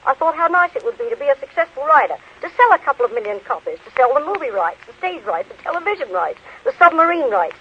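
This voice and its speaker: A woman's voice: sits high, very animated, fast talker, hardly any pauses. An American woman as a guest author on a 1930s radio show, telling anecdotes and trading banter. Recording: noisy, narrow 1930s radio recording.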